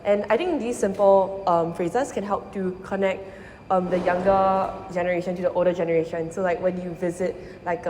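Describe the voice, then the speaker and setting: A woman's voice: lowish voice, darkish tone, a little hiss or hum. Young woman in an echoey hall. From Singapore. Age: young adult. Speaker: design student interviewee. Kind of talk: casual interview answers. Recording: roomy hall with faint ambience.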